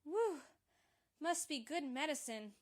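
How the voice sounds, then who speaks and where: A woman's voice: sits high, expressive, slow. A woman playing a troubled, evasive young telephone operator in an old-time radio drama, polite and hesitant. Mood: anxious, deflecting.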